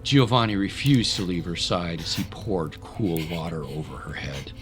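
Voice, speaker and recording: A man's voice: low voice, lively intonation, normal speed. A man narrating a scripted audio drama as a dramatic storyteller. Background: music.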